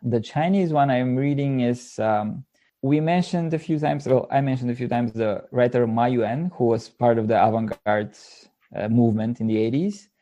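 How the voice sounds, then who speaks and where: A man's voice: medium pitch, normal speed, warm tone. A man from Bulgaria speaking casually as an interview guest, hesitantly searching for words. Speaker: podcast guest, literary translator.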